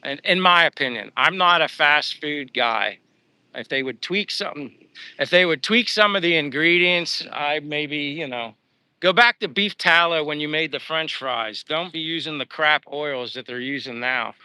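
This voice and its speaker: A man's voice: on the high side, moderate pace, bright, forceful tone, clear, resonant tone, dry recording. Upbeat Pennsylvania man chatting on a livestream. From United States. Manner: rambling, upbeat, thanking viewers. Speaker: livestream host. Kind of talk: casual livestream chat reading viewer comments. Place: living abroad.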